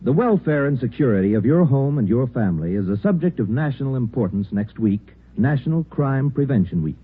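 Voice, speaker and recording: A man making 1950s radio sponsor announcements, earnest and emphatic, with a husky voice. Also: mid-range voice, big pitch swings, fairly quick. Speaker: commercial announcer. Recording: narrow, tinny old radio recording.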